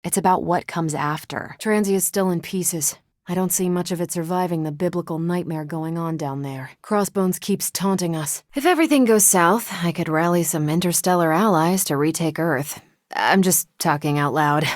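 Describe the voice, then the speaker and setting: A woman with an American accent playing a confident, wry superheroine and former Air Force pilot in a video game, dry, self-assured and quick to wisecrack. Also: on the low side, no echo, close to an everyday voice. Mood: steady.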